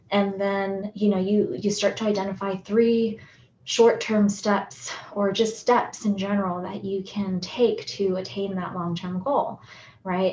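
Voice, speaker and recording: A woman psychologist from the United States talks through an educational lesson, steady and explanatory. She keeps checking in with the listener with a quick "right?" The recording sounds roomy and a little dull, with a faint hum underneath. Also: medium pitch. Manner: explanatory, often ends points with "right?". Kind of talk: educational talk on relationships.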